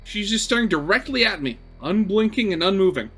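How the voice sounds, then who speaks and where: Male game narrator, bright forceful tone, expressive storytelling with informal asides, faint music bed. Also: sits high, a little fast, husky. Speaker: gaming video host reading a visual novel aloud. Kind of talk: dramatic story narration with casual commentary.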